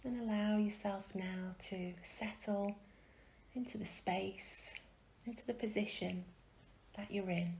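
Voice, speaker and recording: A woman gently guiding a meditation in a soft, breathy, often near-whispered voice, soothing and unhurried, with long pauses. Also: medium pitch, fairly even intonation, slight background noise. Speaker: meditation guide. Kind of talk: guided meditation.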